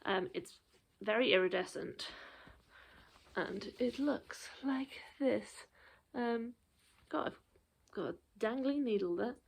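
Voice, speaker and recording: Chatty woman, slightly gravelly. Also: a little high, takes it slowly, a little hiss or hum. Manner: chatty, rambling with many ums. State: out of breath. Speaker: cross stitch vlogger. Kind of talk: casual show-and-tell.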